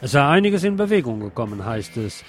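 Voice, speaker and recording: A man's voice: medium pitch, big pitch swings, a little fast, over loud music. A man reading scripted news as a radio host. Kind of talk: scripted news narration over music.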